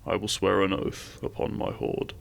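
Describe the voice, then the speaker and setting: Man voicing a deep, gravelly, imperious dragon king. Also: exaggerated voice. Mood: formal, courting. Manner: formal, commanding, deliberate menace with seductive courtesy. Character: imperious, possessive male Dragon King, courting a human. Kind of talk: scripted romantic fantasy audio roleplay.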